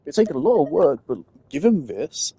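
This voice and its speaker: A man's voice: on the high side, big pitch swings, moderate pace, warm tone, a little hiss or hum. A man giving casual, rambling gaming commentary, thinking aloud as a streamer. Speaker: game streamer.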